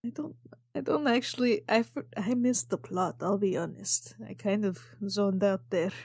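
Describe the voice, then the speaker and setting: A woman's voice: medium pitch, moderate pace, darkish tone, no echo, clean studio sound. A woman improvising in character at a tabletop roleplaying game, casual, plaintive and mock-dramatic.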